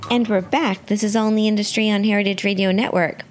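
A woman's voice: medium pitch, fairly even intonation, fast, over loud music. A woman hosting a radio show, chatting casually as she interviews a guest. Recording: clearly noisy background.

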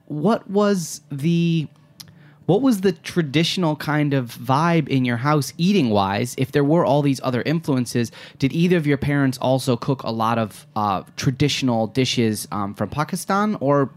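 A man hosting a podcast, asking long, winding interview questions with hesitant pauses and fillers. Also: medium pitch, lively intonation. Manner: hesitant, searching for words, many fillers. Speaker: podcast host.

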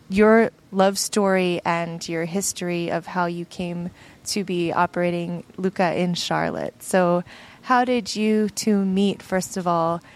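A woman with a mid-range voice hosts an on-location interview. She speaks casually and fills pauses with "um". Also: medium pitch. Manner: casual, friendly, with "um" fillers. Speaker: host.

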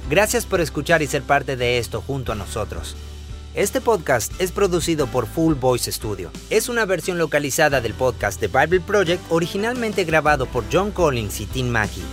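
A man's voice: fairly high pitch, big pitch swings, moderate pace, fairly bright tone, faint music behind. A man dubbing a podcast co-host who asks follow-up questions and also reads the closing thanks, recorded in a studio. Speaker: podcast co-host and outro announcer, Spanish dub. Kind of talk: dubbed conversational podcast.

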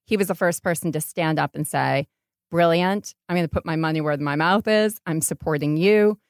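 Low-pitched woman, candid interview talk. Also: moderate pace, dry recording, clean studio sound. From New York, United States. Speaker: guest, startup founder.

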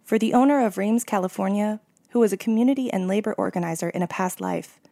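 A woman hosting a food podcast, reading scripted narration in a steady delivery. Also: mid-range voice, quick pace, gravelly, no echo, clean studio sound. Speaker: podcast host.

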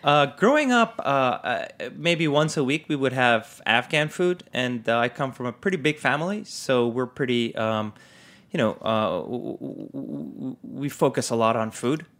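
A middle-aged man from Japan talks as an interview guest. His voice sits at a middle pitch, and he speaks in a relaxed, articulate way, laughing easily. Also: normal speed. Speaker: guest, food blogger. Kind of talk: conversational interview.

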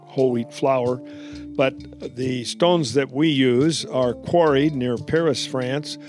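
A man's voice: medium pitch, takes it slowly, a little mellow. A man speaking in a radio ad, explaining plainly how his flour is milled. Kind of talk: scripted ad dialogue. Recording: faint guitar music behind.

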